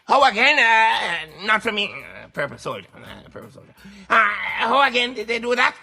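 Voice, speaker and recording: A man acts out a puzzled Jamaican male radio interviewer with a very high, piercingly bright voice. He is hesitant and stumbles through his questions in a raspy, creaky tone, with music underneath. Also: big pitch swings, moderate pace, full character voice. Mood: hesitant questioning.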